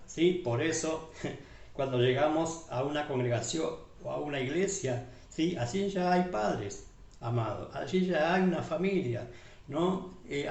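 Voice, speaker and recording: A man's voice: on the high side, creaky, darkish tone. A man with an Argentine accent, a pastor preaching a sermon, calling the listeners beloved and checking in with them often as he goes. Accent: Argentine Spanish. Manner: repeats tag questions, list-like phrasing, addresses listeners as beloved. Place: church hall. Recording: echoey church room from far off.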